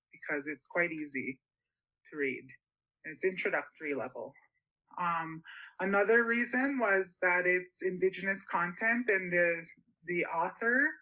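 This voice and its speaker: Bright-voiced, slow-paced woman. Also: lowish voice, poor audio. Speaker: student teacher presenting. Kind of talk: informal presentation and read-aloud.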